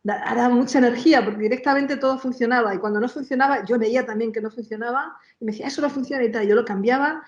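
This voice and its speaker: A woman's voice: fairly high pitch, racing, few pauses. A woman interviewed as a sound composer, chatting informally in broken phrases with frequent tag questions. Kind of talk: casual interview chat. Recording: echoey room, dull lo-fi sound.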